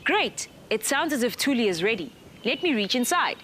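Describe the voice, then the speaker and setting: A woman's voice: a little high, big pitch swings, normal speed, pushed and bright, slight background noise. A woman presenting a children's TV show, giving cheerful, patient step-by-step craft instructions. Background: music.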